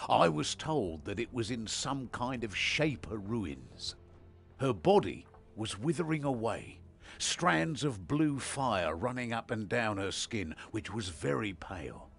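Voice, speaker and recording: An actor playing an earnest, remorseful ancient warrior in a fantasy video game, with a creaky voice. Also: male voice, on the low side, fairly bright tone, heavily acted.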